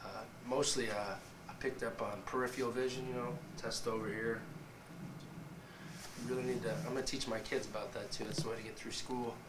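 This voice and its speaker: A man's voice: medium pitch, flat delivery, a little fast. Young man, scratchy, cocky joker, echoey distant room recording. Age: young adult. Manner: wisecracking, boastful. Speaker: college football player. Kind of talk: joking interview to camera. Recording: echoey room, off-mic, ambient noise.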